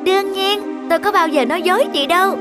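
A high, upbeat goldfish. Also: female voice, quick pace, loud music behind the voice. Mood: reassuring. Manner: encouraging, breezy. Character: kind, upbeat, reassuring goldfish friend. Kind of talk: children's cartoon dialogue.

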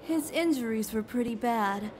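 A woman's voice: fairly high pitch, moderate pace, a little mellow, a little hiss or hum, lightly acted. A woman playing a polite, matter-of-fact professional in an anime comic dub. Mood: composed. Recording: music behind the voice.

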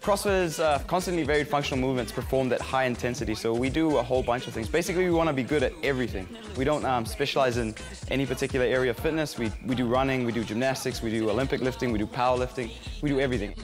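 A man's voice: mid-range voice, very fast. A man in his twenties from Samoa, talking casually to camera as a featured guest. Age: 20s. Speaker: featured guest, CrossFit athlete. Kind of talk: casual profile interview to camera. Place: gym, indoors. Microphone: lapel. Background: music.

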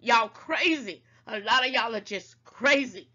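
A woman's voice: a little high, unhurried, sharp tone, dull audio. An older woman hosting an online talk show, scolding and preaching to her viewers and calling them baby, at times a little congested. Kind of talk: casual commentary to viewers.

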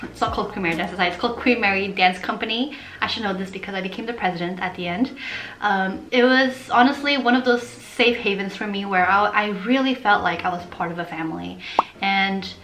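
A young woman from Portugal, talking casually to camera in an animated, chatty vlog style while gesturing with her hands. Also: mid-range voice, fairly quick, echoey room, faint music behind, low-quality recording. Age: young adult. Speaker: vlogger.

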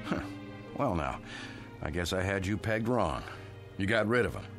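A suspicious brother-in-law, blunt and probing, with a mid-pitched, lively voice. He presses steadily toward accusation. Music plays under this vintage TV drama soundtrack. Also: normal speed. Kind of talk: 1960s TV drama acting.

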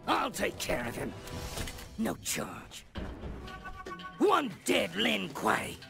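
Very gravelly mercenary villain. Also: male voice, high voice, wildly swooping pitch, very slow, over music, exaggerated voice. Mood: smug menace. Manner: breezy, menacing swagger.

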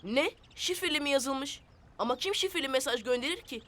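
High-pitched earnest cartoon boy. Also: animated, a little fast, fairly bright tone. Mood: curious. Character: young boy hero of a nomad camp, earnest and helpful. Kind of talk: animated children's series voice acting.

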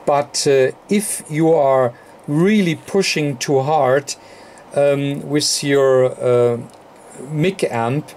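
A man with a non-native English accent giving an unscripted technical explanation as a tutorial presenter, haltingly, with very frequent ums and uhs. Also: fairly high pitch, darkish tone. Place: indoor electronics workbench. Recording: clear background noise.

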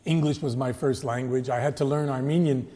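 A man, a guest historian recounting his background in a measured, storytelling way on a studio interview. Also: medium pitch, steady tone, warm tone, big-room sound. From United States. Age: 70s. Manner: measured, storytelling, occasional self-correcting restarts. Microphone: lapel.

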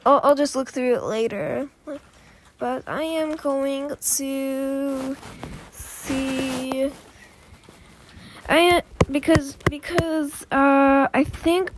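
A young girl hosting a gaming video, sick and a little off-voice, chatting hesitantly and repeating words as she plays. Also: sits high. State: sick, voice sounds off. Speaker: gaming channel host. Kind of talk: casual gameplay commentary.

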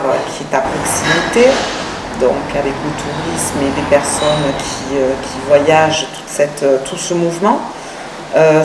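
Woman, low voice, conversational, halting phrasing, distant mic, loud background noise. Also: echoey room. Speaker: restaurant owner. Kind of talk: on-camera interview, explaining her project. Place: restaurant terrace, dining room and working kitchen.

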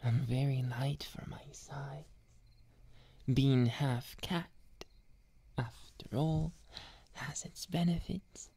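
Slow, whispery neko boy, voiced by a woman. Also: a bass voice, mellow, exaggerated voice. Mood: sneaky, delighted. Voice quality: breathy whispering. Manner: slow, lingering, obsessively affectionate. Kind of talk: ASMR roleplay, soft and whispery.

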